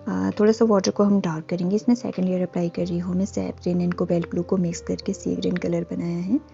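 Woman art tutor, gravelly and warm, calm step-by-step voice-over with faint music, slightly dull recording. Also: fairly low pitch. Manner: calm and instructive, with frequent pauses and fillers. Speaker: art teacher. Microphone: voice-over, camera on hands and canvas.

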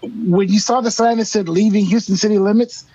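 A comedian on a podcast, agreeing emphatically and acting out complaints. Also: male voice, high voice, fairly even intonation, brisk, warm tone, breathy edge, no room sound. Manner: emphatic agreeing, exaggerated retelling. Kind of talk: casual comedic chat. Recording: slightly dull, muffled sound.

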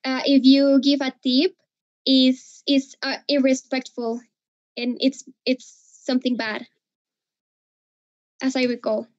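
High-pitched female workshop participant, Mexican accent, Spanish speaker, halting delivery, lo-fi online call. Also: level tone, darkish tone. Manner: hesitant, searching for words. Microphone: video call. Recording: dull, lo-fi video call.

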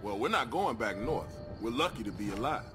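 An actor playing a gruff, fed-up gang thug in a video game, blunt and complaining. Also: male voice, medium pitch, animated, fairly quick, lightly acted. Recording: loud music over the voice.

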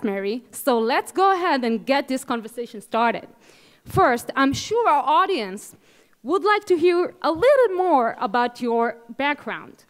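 A young woman with an Israeli accent opens a conference session from a ballroom stage. Her voice sits high, and she speaks upbeat into a handheld mic, coaxing the audience to answer her. It has the open sound of a large hall. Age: young adult. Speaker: moderator. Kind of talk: conference keynote introduction. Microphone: handheld microphone.

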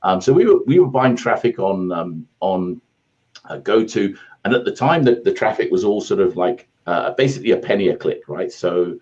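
A man's voice: low voice, lively intonation, normal speed, a little mellow, muffled recording. A British man in his forties telling his career story in a rambling, self-deprecating way, over a video call from a home office. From England. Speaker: guest, ad agency founder. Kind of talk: casual interview storytelling. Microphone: earphone headset on video call.

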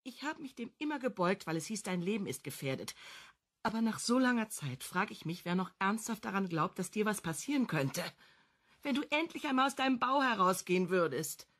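An actress playing a bitter, frustrated wife in a radio crime drama, complaining with sarcasm and accusation. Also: female voice, mid-range voice, big pitch swings, fairly quick, a bit bright. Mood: reproachful.

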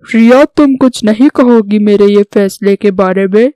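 A woman's voice: mid-range voice, measured pace, mellow, no room sound, studio quality, close to an everyday voice. A woman voicing an earnest, patriotic young man in a cartoon story, speaking in declarations. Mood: earnest, resolute. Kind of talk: scripted Hindi cartoon story dialogue.

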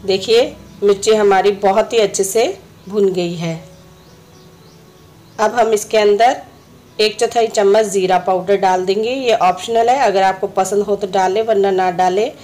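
A woman with a slightly hoarse throat, a home cooking host, calmly explaining each step of a recipe in her kitchen. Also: medium pitch, a little slow. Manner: instructive, friendly, repeats steps.

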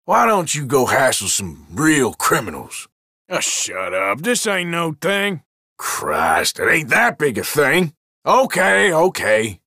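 Irritable old west outlaw for a game, american western drawl, folksy n defensive, pitched up high, forceful edge. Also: male voice, huge pitch swings, takes it slowly, dry recording, clean studio sound, full character voice. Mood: exasperated. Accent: American, rural Western drawl. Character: gruff Old West outlaw cowboy, male, irritable and wary. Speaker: video game character. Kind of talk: game voice lines, short barks.

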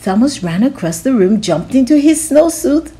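Slow giggly lady reading a kids' story, voice bounces all over, kinda high. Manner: slow, lively, giggly reading with big pitch swings. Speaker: storytime channel host. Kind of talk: children's picture book read-aloud.

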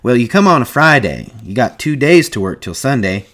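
A man's voice: medium pitch, expressive, fairly quick, voice pushed up, natural voice. Man doing a brash, talkative ranch hand. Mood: knowing, teasing. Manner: brash, folksy rural chatter.

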